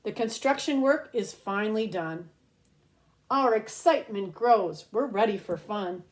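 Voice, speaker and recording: Slow-paced woman reading aloud to young children. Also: mid-range voice. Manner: expressive, child-directed, pausing to explain pictures and words. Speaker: pre-kindergarten teacher reading aloud. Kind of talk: children's picture book read-aloud.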